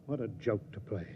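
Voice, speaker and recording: A man's voice: fairly low pitch, mellow, close to an everyday voice. A man playing a suspicious, commanding starship captain in the 1950s radio acting style. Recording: dull, faintly noisy 1950s radio recording.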